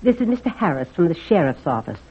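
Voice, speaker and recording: Husky, low-voiced older guardian woman. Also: big pitch swings, fast, exaggerated voice. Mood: grave, sorrowful. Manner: plain-spoken, grave. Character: stern but caring older guardian woman. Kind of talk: 1940s radio acting. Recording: thin, narrow-band old radio recording.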